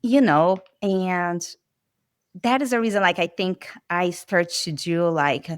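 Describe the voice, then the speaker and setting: Woman w/ brazilian accent talking sales, kinda low voice, dry close sound, says like a lot. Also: takes it slowly, clear, resonant tone, dry recording. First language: Portuguese. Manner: chatty, animated, many filler likes. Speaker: guest, medical sales rep. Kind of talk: casual interview talk.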